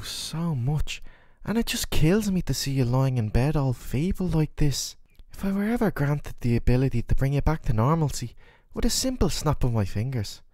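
Guy acting as a caring worried boyfriend, asmr roleplay, soothing and sincere, lively pitch. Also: dry recording, close to an everyday voice. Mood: tender, pained. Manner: soothing, earnest, affectionate. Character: worried, tender, doting boyfriend caring for his sick partner.

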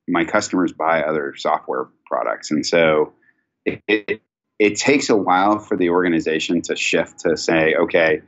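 Executive interview guest: man, forties, deep voice, conversational and considered, dull lo-fi call recording. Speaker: company chief executive, guest. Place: indoor office, video call. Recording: dull video call audio.

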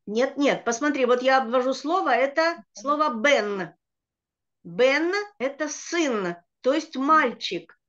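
A middle-aged woman teacher speaking slowly and patiently, her voice set a little high. She walks children through each word. The sound is dull and lo-fi, as on a video call. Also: expressive, fairly bright tone. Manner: slow, patient, explaining word by word. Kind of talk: online children's Hebrew reading lesson.

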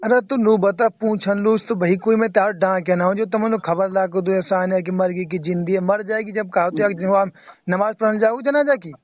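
High-voiced Mewati man, exasperated on the phone. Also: normal speed. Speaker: phone call participant. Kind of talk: casual phone chat. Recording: band-limited phone call recording.

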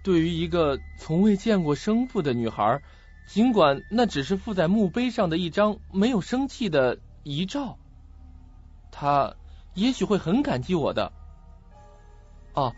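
A husky, fairly high-voiced young man played by a male actor in a radio drama. He is earnest and hesitant, speaking in halting, reflective phrases. The recording is dull and lo-fi. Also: darkish tone, exaggerated voice. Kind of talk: Mandarin radio drama acting.

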